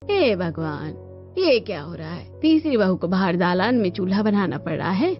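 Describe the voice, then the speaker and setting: A woman's voice: lowish voice, big pitch swings, moderate pace. A woman voicing a gossipy, disapproving neighbour in a cartoon family story. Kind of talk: Hindi cartoon story voice acting. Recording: dull lo-fi audio over music.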